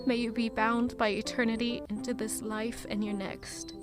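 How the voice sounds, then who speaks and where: A woman playing a quietly resolute young woman in an audio drama, narrating in a measured, reflective way. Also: on the high side, flat delivery, over loud music, lightly acted. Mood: brooding, wistful. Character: guilt-ridden, quietly resolute young woman returning to her hometown. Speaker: narrator and lead character. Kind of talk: first-person scripted audio drama narration.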